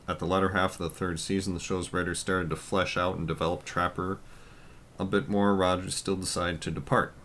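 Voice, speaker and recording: A man with a deep, low voice chats loosely on a podcast. He talks loudly and wanders through his points with plenty of fillers. A faint hiss of noise sits under the recording. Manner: loud, rambling with many fillers. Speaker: podcast co-host. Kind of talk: casual podcast chat.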